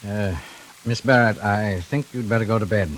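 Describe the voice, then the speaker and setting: An actor playing a menacing intruder in a 1940s radio drama, mock-courteous, deliberate and quietly controlling. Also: male voice, lowish voice, steady tone, close to an everyday voice. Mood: quietly commanding. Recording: hissy old radio recording.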